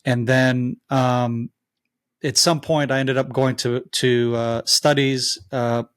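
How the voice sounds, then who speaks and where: Man, forties, American accent, halting, filler-heavy, mid pitch, dry recording. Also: flat delivery, dry recording. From Israel. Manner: halting, many fillers and restarts. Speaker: guest. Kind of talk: interview answers.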